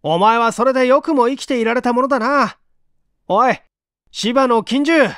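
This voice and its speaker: Man acting a loud, high, mocking young man. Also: no room sound, studio quality. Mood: mocking, challenging. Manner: loud, theatrical, drawn-out calling. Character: bored young man in his twenties, mockingly challenging.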